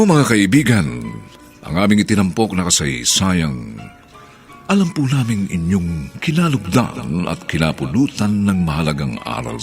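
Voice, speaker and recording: A man's voice: on the low side, gravelly. A man with a Filipino accent hosting a radio drama, announcing in a formal, theatrical scripted style.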